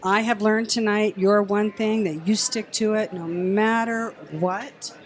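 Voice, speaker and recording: A woman bantering casually on a podcast panel, deliberate and teasing, with dramatic pauses. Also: medium pitch, steady tone.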